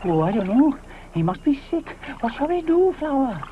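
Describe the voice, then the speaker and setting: A man voicing a fussy, panicky male ichthyosaur with a shrill, flustered voice in a storybook. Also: sing-song, normal speed. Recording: tinny lo-fi with faint hiss.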